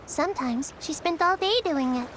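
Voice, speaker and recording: A woman voicing a small, excitable young village creature in a video game, chatting and telling tales in an animated, earnest way. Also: sits high, moderate pace, noisy background. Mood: earnest, concerned. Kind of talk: video game character dialogue. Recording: game music behind the voice.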